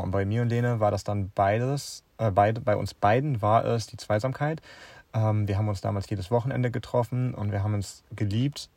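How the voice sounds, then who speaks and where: Ein junger Mann, der als Co-Gastgeber locker und bedächtig erklärend mit seiner Partnerin plaudert. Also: male voice, fairly low pitch, creaky, darkish tone, no echo. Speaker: podcast co-host. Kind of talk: casual couple chat.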